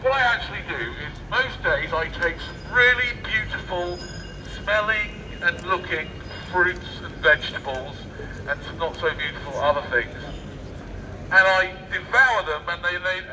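A man's voice: sits high, highs cut off. A man doing provocative street speaking through a megaphone, rambling and argumentative, repeating his questions. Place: outdoors on a busy city street at night. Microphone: far camera. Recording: distant, noisy city street recording.

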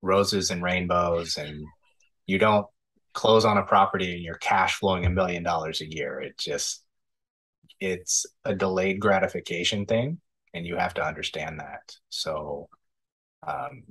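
A man in his thirties, a podcast guest, giving measured, thoughtful answers with frequent ums over a video call. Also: low voice, a bit bright, breathy edge, low-quality recording. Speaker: podcast guest, real estate investor. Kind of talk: casual interview answers. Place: indoor room, video call.

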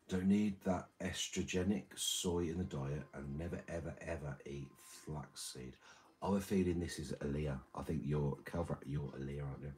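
A man in his thirties with a very deep, slightly damaged voice, chatting casually and crudely while reading his livestream chat in a small room. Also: a little mellow, a little hiss or hum. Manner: rambling, crude, jokey. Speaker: livestream host. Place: small room with red curtain and red light.

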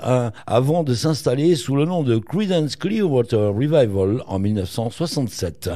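A man's voice: mid-range voice, mellow. A man co-hosting a local radio music show, telling band history with playful jokes and asides. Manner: jokey, playful asides while reading facts. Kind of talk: casual radio chat about rock history.

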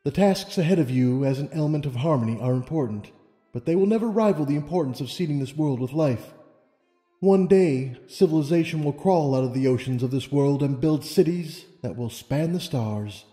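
A man's voice: on the high side, animated, moderate pace, warm tone, close to an everyday voice. A man voicing a wise, serene female pony ruler in a storybook reading, with solemn, formal speech. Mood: earnest, stately. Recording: long reverb, music underneath.

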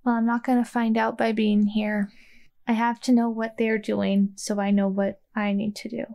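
A woman with a fairly high voice takes part in a tabletop role-playing game. She tells her character's moves in the first person, casually and a little tentatively, working them out as she talks. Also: little pitch movement, normal speed, vocal fry, mellow. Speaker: player in an actual play podcast.

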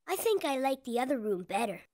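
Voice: sits high, very animated, fairly quick. A performer voicing a little boy animal kindergartner in a children's cartoon, with music playing behind. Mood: gentle.

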